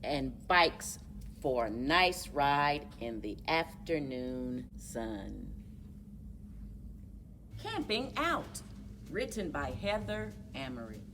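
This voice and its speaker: A woman reading a children's story aloud in a deliberate, expressive way for young listeners, as a story time host. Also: deep voice, far from the mic, noisy background.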